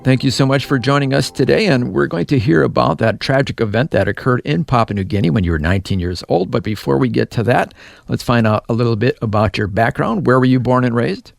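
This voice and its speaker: A man conducting a radio interview, his voice slightly gravelly as he asks encouraging questions. The studio sound is dry and close. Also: medium pitch, lively intonation, brisk, no echo. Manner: warm, encouraging questions with brief backchannels.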